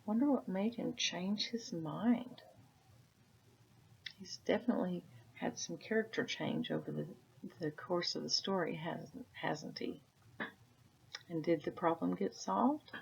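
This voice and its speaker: A woman reading a children's story aloud like a teacher, adding casual comments and gentle questions for the listener. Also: on the low side, unhurried, vocal fry, long pauses, slightly rough. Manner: asks gentle tag questions. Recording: dull, lo-fi sound.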